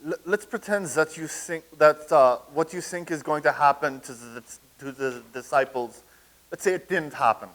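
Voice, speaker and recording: A man's voice: a little high, normal speed, a bit bright, close to an everyday voice, rough recording. An actor in a comedic staged reading playing a blustering, scoffing skeptic who stammers as he starts. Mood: dismissive. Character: blustering skeptical man, stammering, naive admirer of tyrants.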